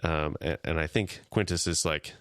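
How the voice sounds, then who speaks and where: Deep, smooth-voiced man retelling scenes. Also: steady tone, moderate pace, fairly bright tone, no echo, clean studio sound. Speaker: podcast co-host. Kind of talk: casual chat.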